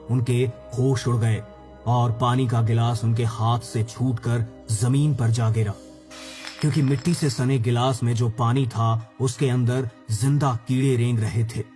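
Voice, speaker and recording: A man's voice: medium pitch, darkish tone. A man narrating a scripted horror story as its storyteller. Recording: background music.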